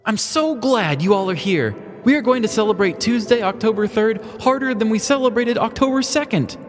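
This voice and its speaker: Guy doing rowdy young party girl, defiant, self-righteous, pitched way up, comedy story. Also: animated, a little fast, long reverb tail, faint music behind. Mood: rowdy, excited.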